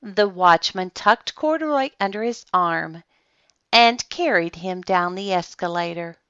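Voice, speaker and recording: A woman narrates a picture book in a bright, crisp-edged voice. Her delivery is animated and dramatic, with slow, deliberate phrasing. The recording is close and dry, if slightly dull. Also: lowish voice, dry recording. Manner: dramatic, deliberate pauses and emphasis. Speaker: read-aloud storyteller. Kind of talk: expressive children's storybook narration.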